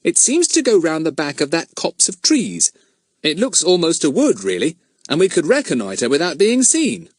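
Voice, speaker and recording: Man doing a brisk, assured boy leader. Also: on the high side, wildly swooping pitch, no room sound, studio quality, pitched above the performer's own voice. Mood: plotting.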